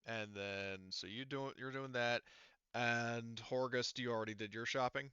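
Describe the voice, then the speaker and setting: A man's voice: mid-range voice, fairly even intonation, unhurried, bright, forceful tone, smooth, dry recording. Man, game master type. Clean, forward voice, casual and hesitant explainer, close dry lo-fi mic. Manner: hesitant, thinking aloud while explaining. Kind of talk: casual online tabletop game talk.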